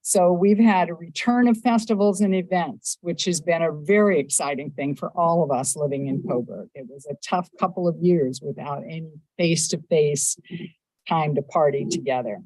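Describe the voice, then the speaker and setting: A woman with a lowish, loud voice gives a prepared address in a council chamber. She moves through her points in a measured, upbeat way and leans on each item in a list. The sound is dry and close on the microphone. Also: no room sound, poor audio. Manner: measured, upbeat, stresses lists of items. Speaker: mayor giving a state of the city address. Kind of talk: prepared civic speech. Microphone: council meeting microphone.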